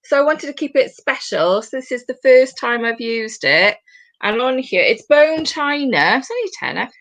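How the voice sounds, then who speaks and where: Bright-voiced chatty British woman host. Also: fairly high pitch, animated. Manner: chatty, rambling, with quick asides. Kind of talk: casual chat. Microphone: video call.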